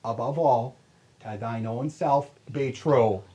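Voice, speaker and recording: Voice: mid-range voice, slow pace, warm tone, slightly rough, dull audio. A reader in a casual table read, playing a fussy old father and slipping chatty asides into the verse. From Canada. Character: fussy old father giving long advice.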